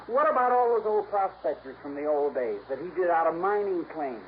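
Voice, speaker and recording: An American actor playing a glib, defensive, high-spirited young twin in a 1950s radio drama. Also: male voice, expressive, brisk, lightly acted. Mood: cocky, protesting. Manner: glib, protesting, insistent. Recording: dull old radio recording, faint noise.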